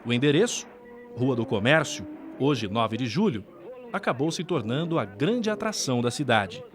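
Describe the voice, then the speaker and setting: A man's voice: medium pitch, big pitch swings, moderate pace. A man with a Brazilian accent reads scripted documentary narration. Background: background music.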